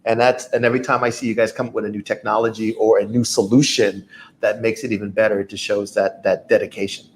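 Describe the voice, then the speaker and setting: A man's voice: lowish voice, little pitch movement, fast talker, a little mellow, a little hiss or hum. A man hosting a product event chat, enthusiastic and filler-heavy as he leads the talk. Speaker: host.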